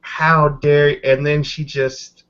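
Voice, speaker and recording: A man's voice: fairly high pitch, level tone, takes it slowly, lo-fi sound, very noisy. A man improvising narration as game master of a tabletop roleplaying session.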